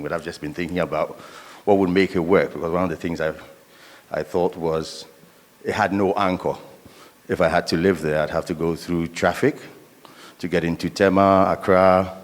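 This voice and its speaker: Deep-voiced man asking from the audience. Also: in a large hall. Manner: hesitant, thinking aloud. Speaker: audience member asking a question. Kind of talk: audience question at a conference.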